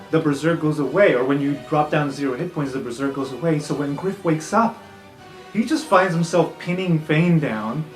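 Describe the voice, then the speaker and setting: A man narrating an improvised tabletop fantasy game as game master, in an excitable, dramatic way. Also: on the high side. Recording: roomy echo, distant, background music.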